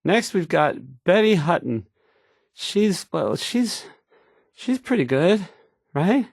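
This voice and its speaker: Timid, stammering young radio DJ, swooping pitch. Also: male voice, very animated, slow pace, gravelly, breathy edge, no echo, studio quality, exaggerated voice. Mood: awkward, unsure. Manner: stammering, hesitant, unsure of himself. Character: nervous, awkward, timid young male radio DJ. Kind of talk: video game character dialogue.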